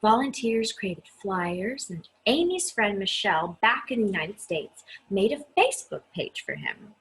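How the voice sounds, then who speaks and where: A woman reading a children's story aloud in an expressive, chatty style, stressing key words with dramatic emphasis. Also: mid-range voice, unhurried, husky, poor audio. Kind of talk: expressive read-aloud with chatty asides to listeners.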